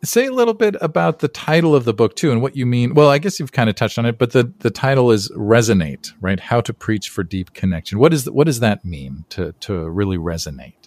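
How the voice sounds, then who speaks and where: A man's voice: mid-range voice, expressive, brisk, hardly any pauses, darkish tone, dry recording. A man hosting a podcast interview, chatty and friendly, with frequent fillers. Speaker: podcast host. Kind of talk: casual interview chat.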